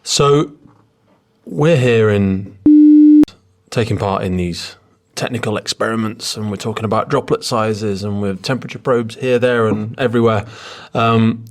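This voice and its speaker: A man with a very low-pitched voice hosts a podcast. His delivery is earnest and measured, whether he is presenting a prepared piece or putting questions to a guest. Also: steady tone. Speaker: podcast host. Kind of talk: scripted podcast introduction and interview.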